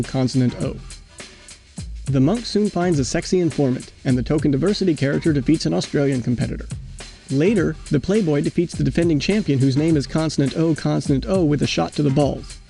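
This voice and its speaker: A man narrates a scripted comparison at a quick pace, deadpan and formally worded. His slightly high voice is mellow and creaky, with faint music behind it. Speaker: video narrator. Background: faint background music.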